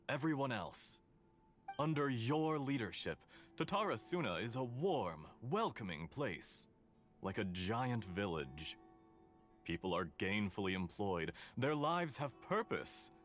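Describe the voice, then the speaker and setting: A man voicing a courteous, calculating foreign engineer in a video game, speaking in a formal, flattering, theatrical way. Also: on the low side.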